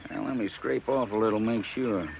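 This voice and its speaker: Plainspoken radio veterinarian, noisy recording. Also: male voice, on the low side, moderate pace, vocal fry. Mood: certain, plainspoken. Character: matter-of-fact male country veterinarian. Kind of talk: 1950s radio drama acting.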